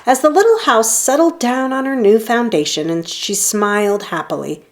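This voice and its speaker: Woman reading a storybook with lively pitch. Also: normal speed. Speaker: storybook reader. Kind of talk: children's read-aloud narration.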